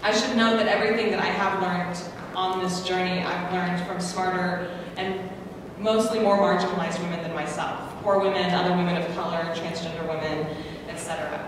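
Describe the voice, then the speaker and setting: Woman panelist, earnest, lowish voice, echoey distant hall recording, background noise. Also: fairly even intonation, fairly quick, few pauses. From United States. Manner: earnest, self-deprecating, many ums. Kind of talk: conference panel talk. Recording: echoey hall from far off, noisy.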